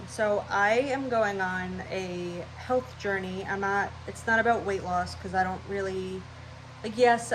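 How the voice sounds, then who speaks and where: A woman's voice: mid-range voice, slight background noise, poor audio. A young woman with a stuffy, nasal voice, rambling casually through her channel intro at home. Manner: scatterbrained, rambling, chatty. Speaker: vlogger.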